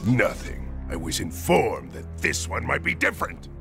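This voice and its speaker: An actor playing a ruthless, menacing tyrant baron in a video game, imperious and contemptuous. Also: male voice, on the low side, wildly swooping pitch, normal speed, gravelly, music in the background, full character voice. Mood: cold fury. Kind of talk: video game villain acting.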